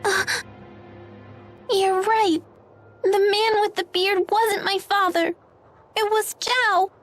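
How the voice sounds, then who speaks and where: Very high, shy little girl. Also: steady tone, slow pace, full character voice. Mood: sorrowful. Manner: soft-hearted, hesitant. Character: shy, gentle, sad little orphan girl. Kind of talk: anime game dub acting. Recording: music behind.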